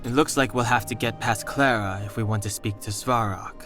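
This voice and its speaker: A man playing a composed, analytical young man in a video game, measured and dry in delivery. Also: medium pitch, fairly even intonation, music in the background, natural voice. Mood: calm, reasoning. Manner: measured, matter-of-fact, dry.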